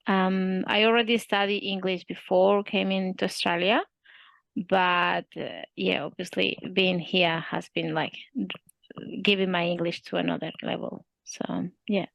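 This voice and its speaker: A young woman with a Spanish accent and a gravelly edge to her voice. She speaks hesitantly, pausing on fillers and restarting her sentences. The sound is dry and close. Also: lowish voice, flat delivery, no echo, highs cut off. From Spain. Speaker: student interviewee. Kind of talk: casual interview.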